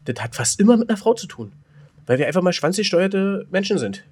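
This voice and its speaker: A man's voice: high voice, sing-song, brisk, husky. A German man co-hosting a podcast in casual, unscripted banter, speaking in a blunt, colloquial way with crude slang. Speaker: podcast co-host.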